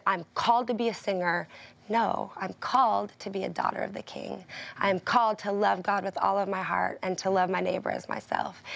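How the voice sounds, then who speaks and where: A woman's voice: mid-range voice, gravelly. A middle-aged woman, a guest singer and author, telling her story in casual talk-show conversation, earnest and often laughing as she talks. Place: television studio with a live audience.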